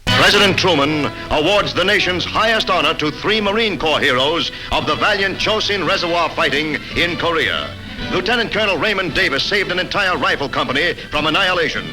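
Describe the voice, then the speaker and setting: A man's voice: a little high, lively intonation, a little fast, music in the background, rough recording. An American man narrating a 1950s newsreel in a formal, emphatic announcer style, on a vintage soundtrack.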